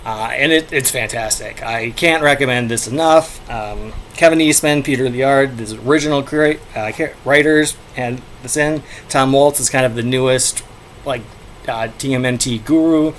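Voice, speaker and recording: A middle-aged man hosting a casual comic book review show, talking in a playful, animated way. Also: medium pitch, moderate pace, a little hiss or hum. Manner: playful, animated, breaking into shouted sound effects.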